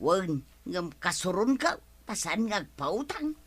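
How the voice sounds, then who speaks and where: A woman's voice: fairly low pitch, big pitch swings, unhurried, husky, exaggerated voice. A woman playing a nagging, teasing village auntie in a comedy radio drama, with an Ilocano accent.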